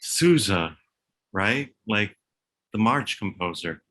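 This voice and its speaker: An actor playing a deferential, awkward forensics staffer, polite and unsure. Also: male voice, on the low side, lively intonation, slow, pushed and bright, heavily acted.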